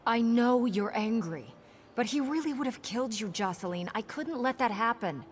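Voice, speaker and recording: A woman playing a protective, composed older sister with an earnest, measured manner in game dialogue. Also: on the high side, lively intonation, slight background noise, natural voice. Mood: earnest, concerned.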